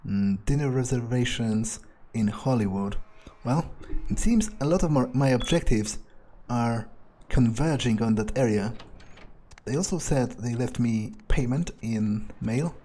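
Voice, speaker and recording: A cruel, weary vampire thinking aloud, played by a man roleplaying a video game. His voice is dark and husky. He speaks very slowly, with impatient menace. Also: mid-range voice, close to an everyday voice. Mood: brooding, musing. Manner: slow, brooding, musing aloud with menace.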